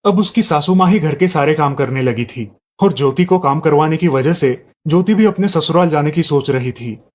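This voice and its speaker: A man's voice: on the high side, expressive, moderate pace, a little mellow, a little hiss or hum. A man narrating a scripted moral family story. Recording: music under the voice. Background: background music.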